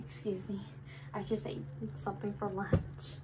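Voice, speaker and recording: A woman doing an ASMR roleplay as a helpful new library worker, speaking softly and hesitantly and sometimes dropping to a whisper. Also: medium pitch, flat delivery, slow, noisy background.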